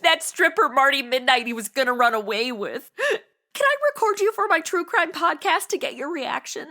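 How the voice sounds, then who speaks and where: A bright, high-voiced young woman in a radio comedy. She is ditzy and boy-crazy, gushing and oversharing with flippant emotion. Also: expressive, normal speed, exaggerated voice. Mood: breezy, oversharing. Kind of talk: comedy audio drama acting.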